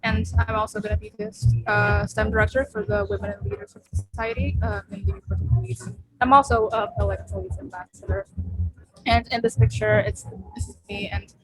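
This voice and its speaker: A woman's voice: on the high side, animated, fairly quick, soft background music, rough recording. A young woman from Indonesia, a student ambassador, introducing herself in a friendly, conversational way over a video call microphone. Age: young adult. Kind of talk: casual self-introduction in a webinar.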